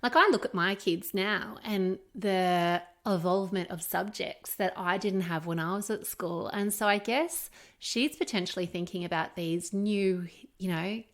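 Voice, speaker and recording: A woman's voice: mid-range voice, unhurried, few pauses. A middle-aged woman with an Australian accent chatting casually as a podcast co-host, candid and emphatic, with an encouraging way of talking. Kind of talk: casual conversational podcast chat.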